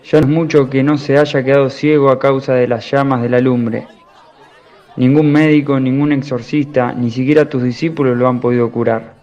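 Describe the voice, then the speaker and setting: Radio drama father, male actor, imploring and earnest, narrow pitch movement, lo-fi sound with crowd murmur. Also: a little mellow, lightly acted. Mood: desperate, imploring. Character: anguished pleading father of a possessed boy. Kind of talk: religious radio drama acting. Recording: dull lo-fi, crowd murmur behind.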